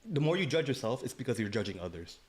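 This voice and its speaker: A man's voice: mid-range voice, very animated, fast talker. A man co-hosting a podcast in casual chat, laid-back and swearing freely, leaning on "like" and "you know". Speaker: podcast co-host.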